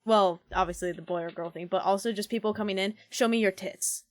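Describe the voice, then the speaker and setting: A woman's voice: medium pitch, steady tone, a little fast, no echo, clean studio sound. A woman in casual podcast chat, chiming in briefly and agreeably. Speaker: podcast panelist who streams.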